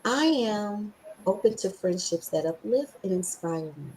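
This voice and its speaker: A woman hosting a podcast, with a scratchy voice, talking with excited emphasis and slowly repeating affirmations. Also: mid-range voice. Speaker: podcast host.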